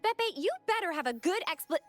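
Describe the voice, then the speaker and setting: A devout young church girl from a video game. She speaks in a high, animated voice with polite, earnest hesitancy. Music runs underneath. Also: brisk. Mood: puzzled. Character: sweet, devout, flustered young church acolyte woman.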